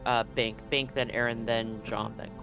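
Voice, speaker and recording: Guy game mastering a tabletop rpg, chatty n bantering, mid pitch voice, bit of music in the back. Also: level tone, normal speed, gravelly. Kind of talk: casual tabletop roleplaying game narration.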